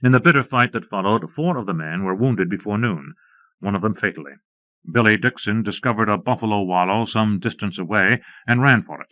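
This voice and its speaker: A man's voice: on the low side, lively intonation, a little fast, dry recording. A man reading scripted Western stories and history as an audiobook narrator. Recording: dull lo-fi cassette transfer.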